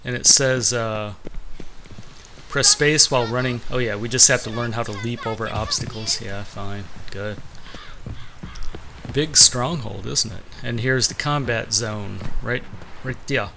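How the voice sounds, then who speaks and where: A man's voice: mid-range voice, fairly even intonation, unhurried, gravelly, crowd noise. A man giving casual, sardonic gameplay commentary with a complaining streak. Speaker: let's play gamer.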